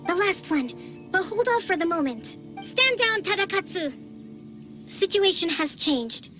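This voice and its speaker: High-voiced, composed young female strategist. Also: sing-song, bright, forceful tone, soft background music, heavily acted. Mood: calm, firm. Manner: measured, polite, composed. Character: young, poised female strategist leader, calm and diplomatic. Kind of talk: video game voice acting.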